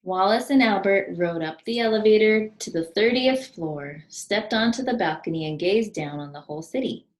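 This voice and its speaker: A woman reading a children's picture book aloud expressively, pausing to put questions to listeners and point out pictures. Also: medium pitch, animated. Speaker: storybook reader, teacher.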